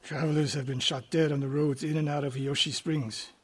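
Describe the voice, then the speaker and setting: An actor playing a stern, world-weary older samurai archery master in a video game, gruff, terse and authoritative. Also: male voice, a little high, little pitch movement, a little fast. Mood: measured, tactical. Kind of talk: dramatic video game acting. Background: music.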